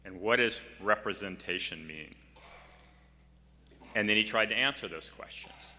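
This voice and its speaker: Older male scholar delivering a formal tribute, very bright projected voice on the low side, hall reverb. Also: unhurried. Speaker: political science scholar, memorial speaker. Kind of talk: prepared tribute speech. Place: large music hall, at a memorial event.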